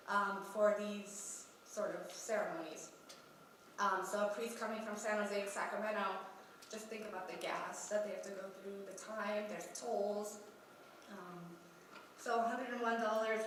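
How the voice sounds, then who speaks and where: A woman's voice: mid-range voice, a bit bright, distant mic, a little hiss or hum. Young woman with a cold, echoey hall. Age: young adult. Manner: hesitant, frequent ums. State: sick, clearing her throat. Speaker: conference presenter. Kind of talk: research presentation at a youth congress. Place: large conference hall.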